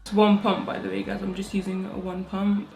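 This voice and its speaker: A young woman talks quickly and casually as she does her makeup at home. Her voice still sounds sleepy. Faint music plays in the background. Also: mid-range voice, monotone, creaky, darkish tone. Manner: fast, chatty, rushed talk with fillers. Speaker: makeup vlogger. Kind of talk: casual get-ready-with-me makeup tutorial. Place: home, indoors. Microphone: own microphone.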